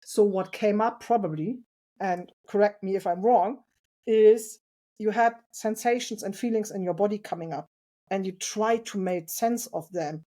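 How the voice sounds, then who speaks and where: A woman's voice: medium pitch, moderate pace. Earnest reflective woman guest. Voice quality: loud voice. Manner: earnest and reflective, with light laughs. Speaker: podcast guest, parent. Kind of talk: casual interview conversation.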